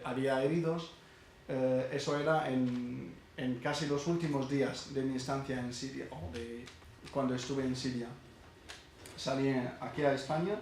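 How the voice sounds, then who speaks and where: A middle-aged man from Syria gives a halting personal testimony, full of pauses and restarts. His voice sits at an ordinary pitch and carries a heavy echo from a lecture hall, with faint noise underneath. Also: darkish tone. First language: Arabic. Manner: halting, many hesitations and restarts. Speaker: speaker at a university event.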